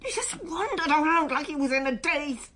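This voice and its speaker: An actress in a radio drama playing a strained, defensive schoolteacher daughter being questioned by police, halting and bristling with emotion. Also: female voice, high-pitched, sing-song, pushed and bright, heavily acted. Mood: angry, upset. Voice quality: husky. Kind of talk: naturalistic radio drama dialogue.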